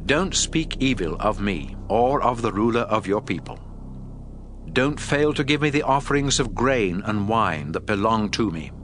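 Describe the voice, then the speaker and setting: A man playing a stern, authoritative God in a dramatic scripture reading, speaking in solemn, deliberate declarations. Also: mid-range voice, close to an everyday voice. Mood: solemn command. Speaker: audio Bible narrator. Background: background music.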